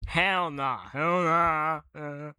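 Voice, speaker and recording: A man's voice: on the high side, steady tone, extremely slow, bright, forceful tone, clear, resonant tone, dry recording, studio quality. A man hosting a casual reaction video, exclaiming and swearing freely as he comments. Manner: profane, exclamatory. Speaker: video host and fan dubber.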